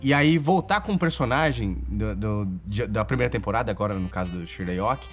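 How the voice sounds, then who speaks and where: A man's voice: on the low side, expressive, a little fast. A Brazilian man, a voice actor, chatting informally and with some slang in an interview. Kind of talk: casual chat to camera. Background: background music.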